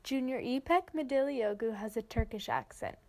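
A teenage girl reads a school news story in a clear, measured voice. She sits a little higher in pitch than most. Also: a little mellow, slight background noise. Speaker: student news reporter. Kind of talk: scripted news narration.

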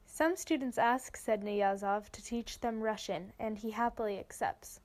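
A teenage woman reading a student news report in a clear, measured way. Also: mid-range voice, fairly even intonation, darkish tone. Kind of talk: scripted news narration.

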